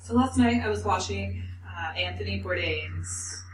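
A woman's voice: mid-range voice, measured pace, a little hiss or hum. A woman co-hosting a podcast, chatting casually with lots of "like" and fillers. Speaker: podcast co-host. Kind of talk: casual chat. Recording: echoey room, mic at a distance.

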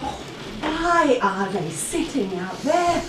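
A woman's voice: high-pitched, very animated, slow pace, full character voice. Audio play: actress as worried, reflective London woman of seventy, off-mic with faint noise. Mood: concerned. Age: 70s. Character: anxious seventy-year-old London woman fearing eviction. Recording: roomy, distant, faint background noise.

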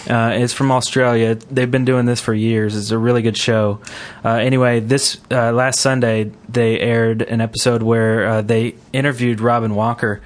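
A man hosting a casual gaming podcast, talking in a halting, rambling way. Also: mid-range voice, flat delivery. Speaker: podcast host.

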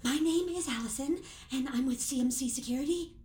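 A woman's voice: high-pitched, a little fast, darkish tone, echoey room, close to an everyday voice. A woman playing a sassy, blunt security guard in her twenties in an audio drama, bossy and slangy. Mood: sassy, brisk. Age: 20s.

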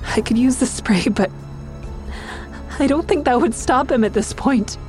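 A woman's voice: high voice, measured pace, a little mellow. Tense woman in a suspense drama. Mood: tense narration. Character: frightened woman narrating her own suspenseful ordeal. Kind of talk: dramatic first-person narration and dialogue. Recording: music behind the voice.

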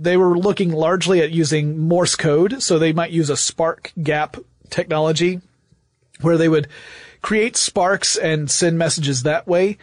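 An American man talks as a podcast host in a fairly high male voice. He is chatty and wordy, with a playfully mock-grand way of putting things. The sound is dry, with no room around it. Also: no room sound. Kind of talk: conversational podcast hosting.

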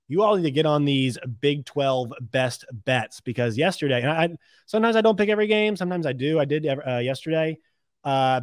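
A man hosts a sports podcast in an unscripted, conversational style. His voice sits a little high for a man and is recorded dry, with very little room sound. He talks through analysis and picks as if thinking aloud, often correcting himself mid-sentence. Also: no room sound.

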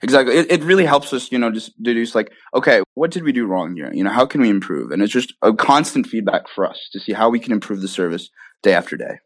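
A man's voice: on the low side, lively intonation, fast talker, dry recording. A young man answering interview questions casually and enthusiastically, often checking in with "right?" and "you know". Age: young adult. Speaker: interview guest, tech startup founder.

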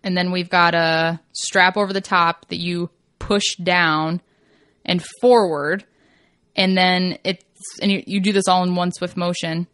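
A woman with a fairly low voice chats casually as co-host, pausing to search for the right words as she explains. She is recorded close and dry, with no room sound. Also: flat delivery, a bit bright, smooth, no echo. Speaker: co-host, new shooter. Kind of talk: casual chat.

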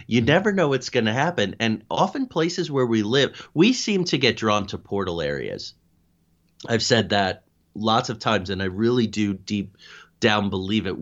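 A man speaking as an interview guest, a paranormal investigator telling stories conversationally and thinking aloud with animated asides. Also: lowish voice, lo-fi sound.

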